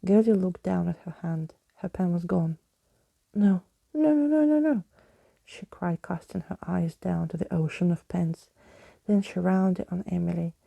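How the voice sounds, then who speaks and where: A woman reading a storybook as soft ASMR narration, hushed, breathy and often whispering, close to the microphone. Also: lowish voice, level tone, normal speed, no room sound. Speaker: ASMR reader.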